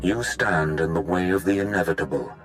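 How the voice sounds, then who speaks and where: An actor playing a menacing, patient Sith lord in a video game, speaking in measured, formal, ominous monologue. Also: male voice, deep voice, music in the background, lightly acted. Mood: cold, ominous. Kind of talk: video game villain acting.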